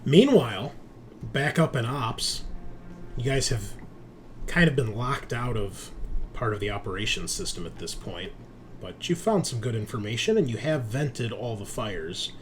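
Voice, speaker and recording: A man's voice: mid-range voice, a little slow. A man acting as game master, narrating a tabletop roleplaying game in an improvised way. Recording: noisy room with steady background ambience.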